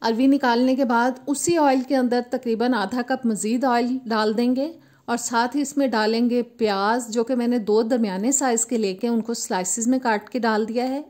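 Woman narrating a home recipe. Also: a little high. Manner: steady step-by-step instructional tone. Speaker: cooking channel host.

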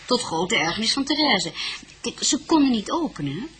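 A woman's voice: fairly high pitch, very animated, a little fast, a bit bright, slight background noise. An actress playing a chatty, assured female witness in a radio drama, speaking expressively. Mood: recalling. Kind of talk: Dutch radio drama acting.

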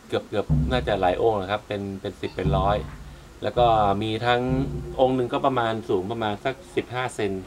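A man's voice: fairly low pitch, a little fast, noisy background. A man in his thirties, chatting casually as he films a travel vlog at a cave entrance, his voice picked up by a handheld camera mic. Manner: chatty, easygoing, narrates as he walks. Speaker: travel vlogger. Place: outdoors at a cave entrance on a cliff. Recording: music playing under the voice.

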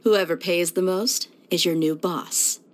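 A woman's voice: fairly low pitch, steady tone, a little slow. An actress voicing a tough, confident young desert mercenary woman in a video game, with a casual, straight-talking delivery. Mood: confident, relaxed. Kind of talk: video game character voice lines.

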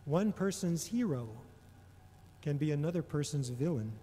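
A middle-aged man delivering a prepared homily in a measured, deliberate way, pausing often between phrases. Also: a little high, animated, dark tone, slight background noise. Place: large indoor church or hall. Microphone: gooseneck microphone on a lectern. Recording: long reverberation of a large hall.

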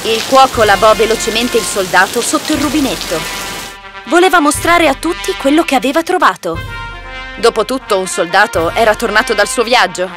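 Woman narrating over loud music. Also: fairly high pitch, animated, fairly quick. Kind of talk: scripted children's story narration. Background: loud background music.

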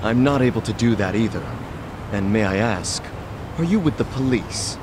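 A man's voice: lowish voice, expressive, normal speed, noisy background, close to an everyday voice. An actor playing a polite, rule-bound middle-aged club doorman in a video game, speaking formally and firmly. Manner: formal, firm.

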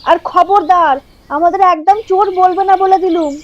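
Woman acting a very high-pitched scheming village woman. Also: fairly even intonation, moderate pace, lo-fi sound. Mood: indignant. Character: scheming, brazen village woman, a would-be thief. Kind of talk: doll-story drama dialogue.

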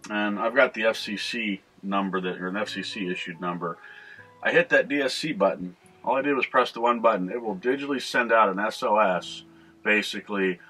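Middle-aged male, North American, slightly deep voice, informal instructional vlog host, plain-spoken and explanatory, music behind. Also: sharp tone, poor audio. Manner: explanatory, conversational. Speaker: boating video host. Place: inside a small boat cabin at the helm.

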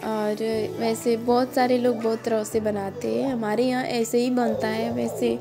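A woman's voice: on the high side, flat delivery, moderate pace, gravelly. A young woman, narrating her trip in a casual, rambling voice-over and often restarting her sentences. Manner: rambling, many "matlab" restarts. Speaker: vlogger. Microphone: phone. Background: background music.

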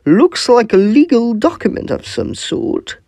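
Man playing an animated, genial Edwardian gentleman. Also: very high-pitched, measured pace, dry recording, full character voice. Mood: astonished. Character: genial, excitable Edwardian gentleman art collector. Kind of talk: audio drama acting.

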